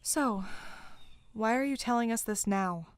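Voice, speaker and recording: A woman's voice: on the high side, a little slow, clean tone, no echo, natural voice. A woman acting as a polite, inquisitive young girl apprentice in a fantasy audio drama, asking things directly. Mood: questioning.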